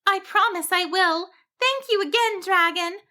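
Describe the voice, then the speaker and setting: A woman's voice: very high voice, unhurried, sharp tone, pitched above the performer's own voice, exaggerated voice. A woman voicing a nervous little female sheep who stammers, in a storybook reading. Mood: cheerful. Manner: stammering, shaky.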